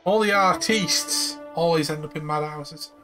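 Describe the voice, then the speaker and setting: Bright, higher-pitched man doing game commentary. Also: measured pace. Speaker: gamer playing through a video game. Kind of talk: casual let's-play commentary. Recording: faint game music behind.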